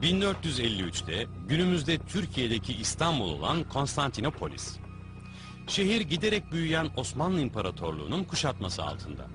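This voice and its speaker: A man's voice: medium pitch, big pitch swings, a little fast. A man reading scripted documentary narration. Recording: music bed under narration.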